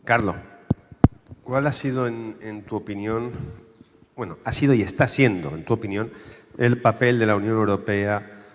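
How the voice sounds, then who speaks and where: A man's voice: lowish voice, moderate pace, long pauses, slight background noise. A man moderating a panel, asking a question hesitantly and rephrasing as he goes. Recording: distant mic in a reverberant hall.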